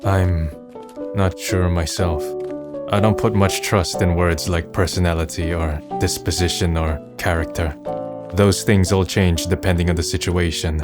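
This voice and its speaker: A man's voice: deep voice, steady tone, a little slow, over loud music. An actor voicing a wry, pensive, melancholy young man in a manga dub, musing and understated. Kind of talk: manga dub dialogue and narration.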